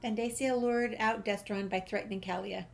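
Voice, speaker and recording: A woman's voice: medium pitch, fairly even intonation, moderate pace, gravelly. A woman playing through a video game, reading dialogue aloud and adding casual asides. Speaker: gameplay streamer.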